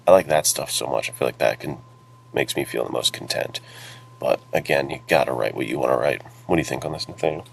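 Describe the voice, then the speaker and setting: Very deep, creaky-voiced man over humming noise. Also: fast talker. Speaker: podcast co-host and writer. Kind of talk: casual podcast chat.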